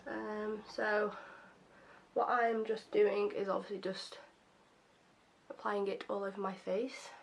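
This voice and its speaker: Young woman, slightly croaky, chatty, self-deprecating, even flat delivery, beauty vlogger. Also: medium pitch, slow pace, long pauses, slight background noise. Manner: chatty and self-deprecating, many fillers like um and obviously. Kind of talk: casual makeup first-impressions chat.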